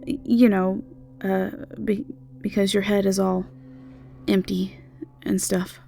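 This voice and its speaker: A woman's voice: mid-range voice, flat delivery, slow, gravelly, dark tone. A woman playing an awkward, friendly, easily flustered young innkeeper who stammers in a fantasy audio roleplay.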